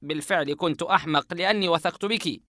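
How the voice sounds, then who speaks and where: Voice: mid-range voice, fast, fairly bright tone, dry recording, clean studio sound, pitched above the performer's own voice, lightly acted. A narrator voicing a frightened young man in a horror audiobook, asking anxious, stunned questions. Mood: shaken. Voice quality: shaken, anxious.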